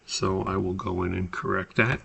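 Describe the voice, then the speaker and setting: A man giving an unscripted, step-by-step tutorial as an instructor, explaining in a halting, methodical way. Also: low voice, level tone, vocal fry, bright, forceful tone, slightly rough. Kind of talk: screen-recorded spreadsheet tutorial, unscripted explanation.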